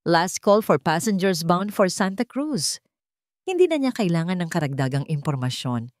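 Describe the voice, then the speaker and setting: A woman's voice: deep voice, darkish tone, dry recording, studio quality. A woman narrating a scripted dramatic story.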